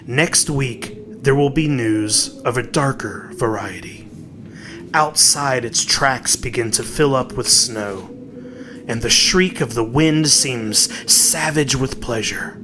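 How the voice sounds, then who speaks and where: A man narrating a horror story in a dramatic, deliberate, suspenseful way, with weighted pauses. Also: medium pitch, noisy background. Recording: music bed behind the voice. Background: clearly audible music.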